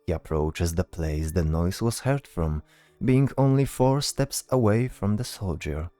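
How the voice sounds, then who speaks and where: A man with a low, mellow voice narrates a scripted fantasy tale. His delivery is deliberate, laid out in short phrases. Faint music plays behind him. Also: lively intonation, no room sound. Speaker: narrator, storyteller.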